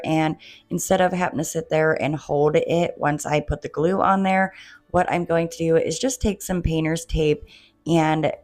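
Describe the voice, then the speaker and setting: Low-voiced woman narrating a craft tutorial. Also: dry recording, studio quality. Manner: chatty, addresses viewers as "you guys". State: coming down with a cold, losing her voice. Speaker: DIY home decor creator.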